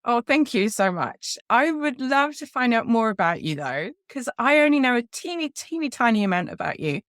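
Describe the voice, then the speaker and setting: A woman's voice: a little high, big pitch swings, normal speed, fairly bright tone. A woman hosting a podcast who reads a scripted intro and then welcomes and questions her guest in a friendly way. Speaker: podcast host.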